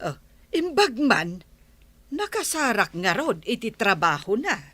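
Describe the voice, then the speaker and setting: A woman's voice: a little high, very animated, unhurried, sharp tone, no echo, exaggerated voice. A woman playing a chatty, inquisitive neighbor in a radio drama, with an Ilocano Filipino accent. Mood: curious.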